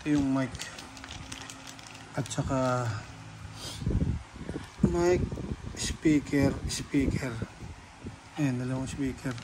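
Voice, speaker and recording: Behind clear background noise with clicks and vehicle sounds, a man speaks casually. His delivery is slow and halting, as if working things out aloud while showing a product. The pitch stays fairly flat throughout. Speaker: vlogger. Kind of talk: casual hands-on unboxing vlog narration.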